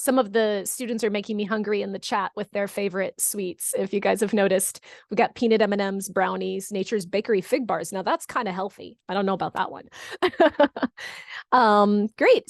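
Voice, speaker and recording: A woman's voice: mid-range voice, moderate pace, no room sound, studio quality. A middle-aged woman from California chatting casually as a webinar host, encouraging people and repeating answers back. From California, United States. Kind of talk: casual moderated chat, reading out audience comments. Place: online video call.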